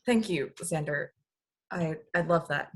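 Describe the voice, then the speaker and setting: A fairly low-pitched female space agent, earnest and protective, played by a woman reading from a comedy script. Her delivery stays conversational. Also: a little slow, slightly rough. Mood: wry, touched. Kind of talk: table read of a comedy script.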